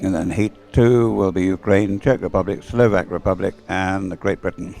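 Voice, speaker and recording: A man's voice: low voice, moderate pace, gravelly, a little mellow, faint music behind. A man giving live race commentary in a conversational, hesitant way, thinking aloud as he goes. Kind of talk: live sports commentary, unscripted. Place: commentary room overlooking the course.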